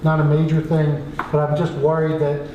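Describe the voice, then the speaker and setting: A man with a somewhat high voice speaks at a public meeting. His delivery is cautious and hedged. The sound is heavily echoed, with clear noise in the background. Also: completely flat delivery, moderate pace, muffled recording. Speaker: participant in a borough council meeting. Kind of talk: spoken remarks at a public meeting. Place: indoor council meeting room.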